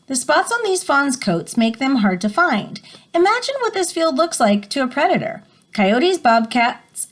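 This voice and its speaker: A woman reading an educational picture book aloud as a teacher, explaining and prompting listeners as she goes. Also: sits high. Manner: explanatory, stops to define words and prompt listeners.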